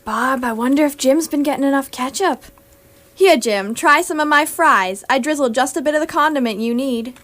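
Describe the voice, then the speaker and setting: Woman acting a high-voiced, soothing friend. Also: moderate pace, fairly bright tone. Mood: soothing, coaxing. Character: concerned, soothing woman friend offering daft advice. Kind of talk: comedic radio sketch acting.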